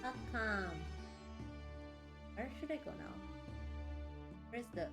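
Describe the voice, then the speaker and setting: A woman's voice: on the high side, lively intonation, slow pace. A young woman, chatting playfully and exclaiming as she live-streams a game. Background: game music.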